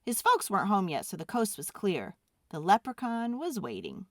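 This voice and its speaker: Children's read-aloud narrator: woman, highly varied intonation, expressive and deliberate, dry close recording. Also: no echo. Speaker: storyteller.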